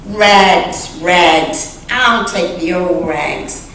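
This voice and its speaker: A woman's voice: fairly low pitch, fairly bright tone. Woman voicing a commanding ragman in an echoing hall. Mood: crying out. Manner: drawn-out street cries, firm questions. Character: weeping, wounded male ragman, gentle yet commanding. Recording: echoey church sanctuary, distant mic, faint noise.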